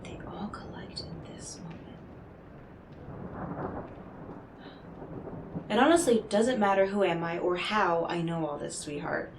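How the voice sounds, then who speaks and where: Woman acting as a mysterious otherworldly lady dealmaker, coaxing n knowing, dramatic pauses, echoey room w noticeable noise. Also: on the low side, close to an everyday voice. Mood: soft, knowing. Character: enigmatic, smooth-talking female stranger brokering otherworldly deals. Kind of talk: scripted audio roleplay monologue addressed to the listener. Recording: roomy echo, clearly noisy background.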